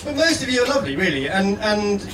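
Animated man speaking in an echoey room. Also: high voice, fast talker. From Scotland. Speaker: guest speaker. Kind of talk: reading a prepared persuasive letter aloud on stage. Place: live stage event indoors. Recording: echoey room, distant mic, steady background noise.

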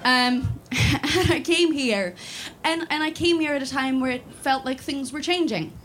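A woman's voice: sits high, measured pace, fairly bright tone, a little hiss or hum. A woman in her twenties from Sweden, talking with many fillers and restarts, then reciting a spoken word poem built on repeated refrains. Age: 20s.

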